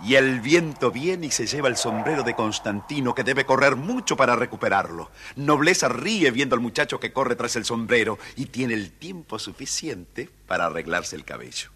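A man's voice: medium pitch, expressive, normal speed, few pauses, bright, forceful tone, slightly rough. A man reading a scripted children's story as its narrator.

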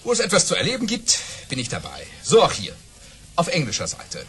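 An actor playing a boastful baron who tells tall tales with theatrical relish in a German audio drama. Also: male voice, on the high side, wildly swooping pitch, fairly quick, fairly bright tone, soft background music. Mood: animated, proud.

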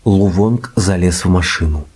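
A man narrating a scripted audiobook in a measured storytelling manner. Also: deep voice, slightly rough. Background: loud music.

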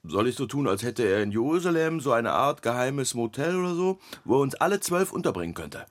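An actor playing a skeptical, contrary middle-aged husband in a radio play, questioning and dryly grumbling. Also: male voice, mid-range voice, sing-song, a little fast, natural voice.

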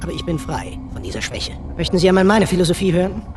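High-pitched, coldly sardonic machine villain. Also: male voice, big pitch swings, quick pace, noisy background. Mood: philosophizing, scornful. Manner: condescending, sardonic, lecturing. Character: cold misanthropic artificial intelligence villain in male guise. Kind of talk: radio drama acting.

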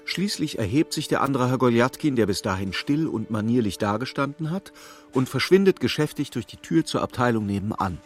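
An actor playing a sober storyteller, narrating a literary radio play in a husky, measured, dramatic way. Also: male voice, mid-range voice, animated, faint music behind, natural voice. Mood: wry, measured. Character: sober male storyteller following a troubled clerk.

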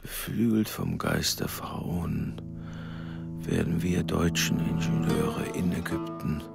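Very gravelly, ambitious father. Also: rumbling low, slow pace, over music, full character voice. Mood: grand, driven. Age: middle-aged. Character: ambitious middle-aged German engineer father, fired with zeal. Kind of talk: radio play acting.

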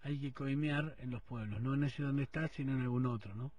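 A man's voice: mid-range voice, a little fast, darkish tone, no room sound, distant mic. A man with a Rioplatense accent hosting a morning radio show, interviewing a caller in a joking, teasing way. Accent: Rioplatense Spanish. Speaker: radio host. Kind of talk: casual phone interview. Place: radio studio.

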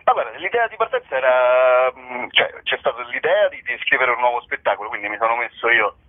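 A man's voice: mid-range voice, expressive, brisk. A man in a casual interview as a theatre comedian, talking in a hesitant, wry, rambling way. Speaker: theatre comedian and writer presenting a show. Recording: narrow-band telephone line, radio interview.

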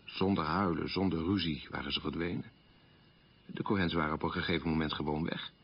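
Deep, husky, guilt-ridden middle-aged man. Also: fairly even intonation, fairly quick, heavily acted. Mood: sober recollection. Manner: earnest, self-reproaching, reflective. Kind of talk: radio drama monologue, confessional. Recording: dull, muffled old radio recording.

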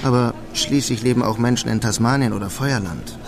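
An actor playing a wry, resigned immigrant who narrates a radio drama in a dry, laconic way. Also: male voice, mid-range voice, fairly quick, vocal fry, background noise, lightly acted. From Germany. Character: wry, resigned German immigrant man in New York. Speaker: first-person narrator.

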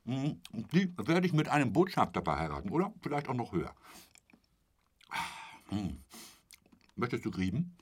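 An actor in a German radio drama playing a garrulous, boastful travelling salesman who rambles chummily and corrects himself, sometimes dropping to a breathy murmur. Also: mid-range voice, very animated, normal speed, long pauses. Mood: chummy, nosy. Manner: chatty, rambling storyteller with a pet polite phrase, correcting himself.

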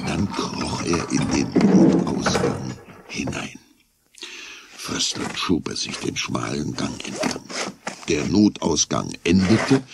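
A man's voice: low voice, gravelly, noisy background. A man narrating a scripted children's story as the narrator of a radio play.

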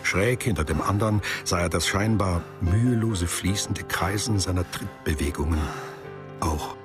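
A man's voice: low-pitched, normal speed. A man narrating a literary radio drama from a script. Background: music.

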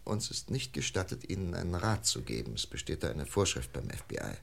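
An actor playing a seasoned, dry-humoured police inspector in a German radio crime drama, firm and in command with pensive, clipped speech. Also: male voice, sits low, sing-song, fairly quick, creaky, breathy edge, heavily acted. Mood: calm, courteous. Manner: clipped questions, pensive hums, authoritative.

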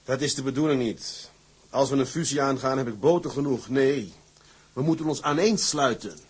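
A man playing a determined, blunt seaman in a period drama, husky and insistently persuasive. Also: medium pitch, moderate pace, close to an everyday voice. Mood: insistent, defiant. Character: determined, blunt male seaman turned tugboat entrepreneur.

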